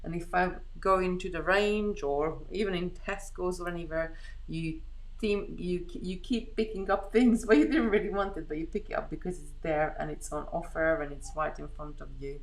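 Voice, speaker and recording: Chatty woman with a creaky voice. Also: on the low side, moderate pace, low-quality recording. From Hungary. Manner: rambling, chatty, thinking aloud while working with her hands. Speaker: host of a live beading craft stream. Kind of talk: casual live craft chat, thinking aloud.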